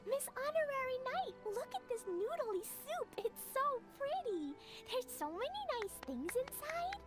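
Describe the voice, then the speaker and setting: A woman voicing a sweet, excitable little girl in a video game, with a childlike, eager, innocent way of talking. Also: extremely high pitch, measured pace, full character voice. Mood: wide-eyed curious. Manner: eager, artless childish wording. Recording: loud music bed underneath.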